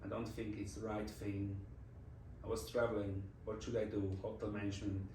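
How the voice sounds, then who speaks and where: A man's voice: lowish voice, flat delivery, normal speed, a little mellow, far from the mic, slight background noise. A Swiss man in his forties with a Swiss German accent, reflecting in a casual interview as a guest, speaking English as a second language. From Switzerland. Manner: reflective, non-native phrasing with restarts. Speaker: interview guest, design executive. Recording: roomy echo, voice from off-mic.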